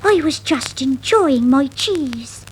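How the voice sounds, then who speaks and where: A woman voicing a timid, naive little female country mouse in a children's story, squeaky and wide-eyed. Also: lively intonation, slow pace. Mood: puzzled. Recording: noisy 1960s vinyl record.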